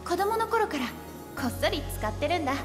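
Cheerful young game heroine, really high voice, teasing and breezy, music behind her. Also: very animated, brisk. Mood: bright, teasing. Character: cheerful, playful young woman, flower seller and guide. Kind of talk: Japanese video game voice acting. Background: background music.

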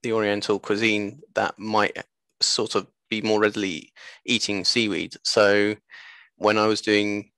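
A man in his thirties with a lowish, gravelly voice, recorded dry on a headset. He chats as an interview guest, stopping and restarting as he tells anecdotes. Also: little pitch movement, measured pace, sharp tone, no room sound. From born in Japan, later moved away. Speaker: interview guest, seaweed business co-founder. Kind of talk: casual interview chat. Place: small room at home, video call. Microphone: headset on a video call.

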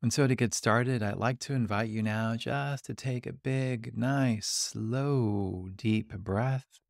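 A man leading a guided meditation, giving gentle, calm instructions with long, unhurried pauses. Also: medium pitch, creaky, no room sound, studio quality. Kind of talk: guided body scan meditation.

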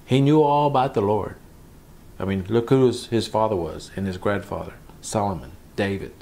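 A man's voice: fairly low pitch, moderate pace, gravelly, dark tone, a little hiss or hum. A man, a pastor, teaching from the Bible in a conversational sermon, repeating phrases for emphasis.

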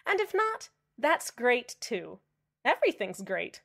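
A woman's voice: on the high side, wildly swooping pitch, a little slow, creaky, heavily acted. A woman playing a smiling, menacingly cheerful male radio host in a radio drama, sweetly condescending. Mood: sweetly upbeat.

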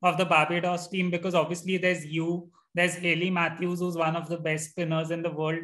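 A man's voice: a little high, flat delivery, fairly quick, fairly bright tone. A young man hosting an interview over a video call, asking long prepared questions. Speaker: host. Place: indoor room.

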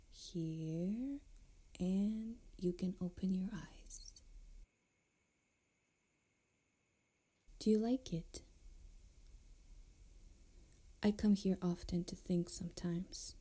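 A woman playing a flirtatious, tender pirate captain in an intimate ASMR roleplay, speaking softly and often close to a whisper. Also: on the low side. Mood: gentle, inviting. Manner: soft, breathy, often near whisper, unhurried. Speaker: audio roleplay performer.

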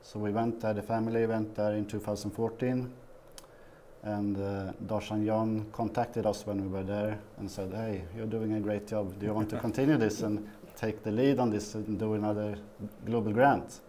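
Swedish man, 50s, dark mellow voice, faint ambient hiss, lapel mic. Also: fairly low pitch, little pitch movement. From Sweden. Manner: halting, many fillers. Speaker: guest. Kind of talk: casual interview. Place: small TV studio.

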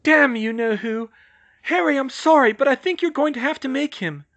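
Performer doing an anxious, high-pitched teenage girl. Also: moderate pace, a bit bright. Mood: shaken. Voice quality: high, shaky. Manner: urgent, faltering, pressing. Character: anxious, insistent teenage girl, brave but shaken.

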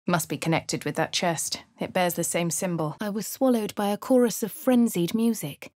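A woman plays a posh female adventurer with a posh English accent, wry and composed. Her voice sits fairly low for a woman and comes across crisp and dry. It is recorded in a dead, dry studio booth with no room sound. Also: moderate pace, darkish tone, no room sound, natural voice. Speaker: voice of the player character. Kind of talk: game voice lines and narration.

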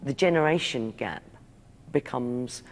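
Gravelly-voiced man explaining history. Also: mid-range voice, a little slow, loud music behind the voice. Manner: explanatory, lecturing. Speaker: historian interviewee.

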